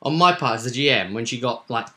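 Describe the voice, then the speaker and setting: A man's voice: medium pitch, lively intonation, fast talker, crowd noise. A man hosting a vidcast as game master, chatting casually and animatedly to viewers as he rambles through a roleplay session recap.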